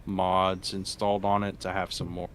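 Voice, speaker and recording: A man with a very deep voice, chatting casually on a voice call during a gaming stream. He speaks in a halting way and often restarts his sentences. The conversation is loose and informal. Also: completely flat delivery, clear, resonant tone. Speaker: guest streamer.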